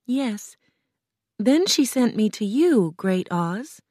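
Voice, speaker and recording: A woman acts out a meek little storybook girl with a high, lilting voice. She sounds earnest, polite and pleading, in a dry, close recording. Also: sing-song, takes it slowly, a little mellow, no echo, full character voice. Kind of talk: storybook character voice.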